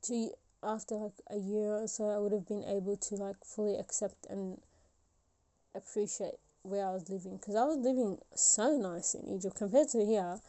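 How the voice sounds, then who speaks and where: Mellow-voiced young woman musing on a podcast. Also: mid-range voice, steady tone, moderate pace. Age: 20s. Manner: rambling, many fillers and restarts. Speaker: podcast host. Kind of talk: solo reflective podcast talk.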